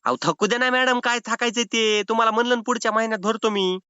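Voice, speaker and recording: A man's voice: extremely high pitch, fast, sharp tone, smooth, no room sound. A man playing a cheeky, evasive rural Maharashtrian borrower with a whiny, argumentative way of stalling in a comic phone call. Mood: defiant. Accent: rural Maharashtrian Marathi. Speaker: prank caller playing a loan defaulter. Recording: slightly dull phone-call audio.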